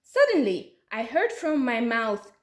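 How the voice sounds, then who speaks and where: A woman with an Uzbek accent voicing a fat, rich, greedy older man who boasts deliberately in a storybook folk tale. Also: a little high, sing-song. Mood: boastful. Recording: roomy, echoey sound.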